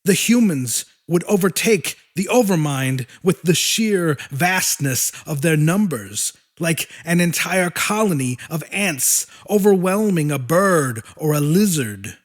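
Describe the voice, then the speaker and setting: A man's voice: a little high, lively intonation, slow pace, hardly any pauses. A man narrating a fiction audiobook in a dramatic, scripted storytelling style.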